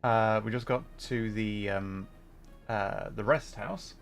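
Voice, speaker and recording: A man's voice: lowish voice, slow, gravelly, soft background music. A man hosting a gaming livestream, chatting casually and playfully while he comments on the game.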